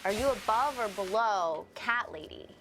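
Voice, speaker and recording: A woman's voice: medium pitch, lively intonation, normal speed, a bit bright, slight background noise, low-quality recording. A woman in her twenties co-hosting a game livestream, chatty and easygoing, laughing along and reading out viewer comments. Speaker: co-host reading chat. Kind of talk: casual let's-play chat.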